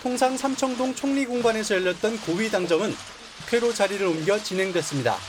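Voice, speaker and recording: A male TV reporter reading a scripted news report in a crisp, even way. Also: sits high, fast talker. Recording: clear background noise.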